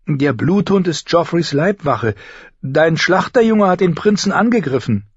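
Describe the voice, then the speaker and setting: A man's voice: animated, unhurried, husky, dry recording, muffled recording. A man voicing a haughty young noble girl in a storybook, petulant and indignant. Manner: blasé, indignant, shrill when upset.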